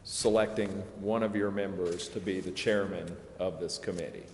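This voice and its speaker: A man with a deep voice explains procedure to a council in an informal, hedging way. He speaks in a roomy, echoing chamber with some noise behind him. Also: fairly even intonation, normal speed, darkish tone. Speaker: legal adviser to a city council. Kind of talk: procedural explanation in a public meeting. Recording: echoey, reverberant council chamber with background noise.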